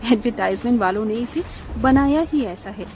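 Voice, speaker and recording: A woman's voice: fairly high pitch, moderate pace, a little mellow, soft background music, voice pushed down, close to an everyday voice. A woman playing an easygoing, dismissive young mother in a cartoon story, with a casual manner.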